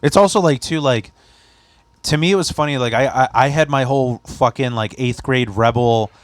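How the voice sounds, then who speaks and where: A man's voice: medium pitch, moderate pace, no room sound. Laid-back man with a Polish accent. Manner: laid-back, agreeable, swears casually. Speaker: podcast host. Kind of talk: casual podcast chat. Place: basement.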